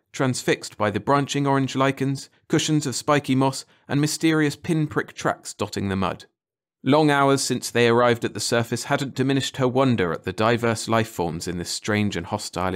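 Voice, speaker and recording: A man reading a scripted story as an audiobook narrator. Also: medium pitch.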